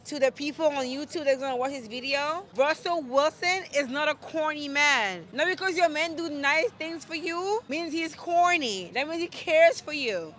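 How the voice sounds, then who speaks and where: Young woman, from France, high voice, outspoken, emphatic, street interview guest. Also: fairly bright tone. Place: outdoors on a city street.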